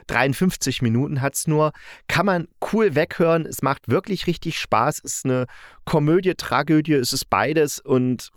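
A man's voice: fairly high pitch, moderate pace, no room sound. Enthusiastic man in a dry recording. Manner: colloquial, enthusiastic, often breaking off to correct himself. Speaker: podcast host. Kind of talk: casual spoken audio drama review, unscripted.

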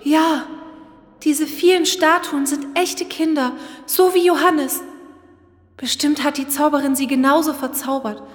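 Fairy-tale girl heroine, adult female performer: high, plucky, earnest, decisive, in a resonant hall. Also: steady tone, measured pace. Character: brave, determined young girl searching for her brother. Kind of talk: children's fairy-tale radio play. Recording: reverberant hall with music.

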